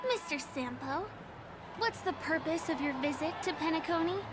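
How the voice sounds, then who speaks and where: An actress playing a gentle, polite and cautious young stage performer in a video game, speaking hesitantly. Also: female voice, very high voice, moderate pace, noisy background. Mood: hesitant, wary. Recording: music behind the voice.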